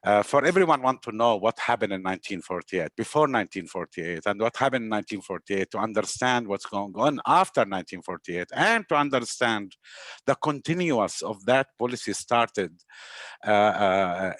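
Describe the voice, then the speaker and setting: Male host, Palestinian accent, low-pitched, earnest with many fillers, dry video-call audio. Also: lively intonation, normal speed, a bit bright, dry recording. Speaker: webinar host. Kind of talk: introducing a guest speaker.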